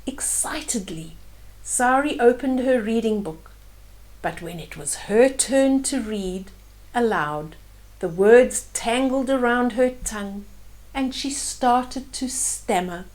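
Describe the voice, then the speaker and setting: An older woman reads a children's story at a very unhurried pace. Her voice sits a little high, and she pauses to explain the pictures gently and simply to young listeners. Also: lively intonation, poor audio. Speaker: storyteller reading children's books aloud. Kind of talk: children's bedtime story read-aloud with asides about pictures.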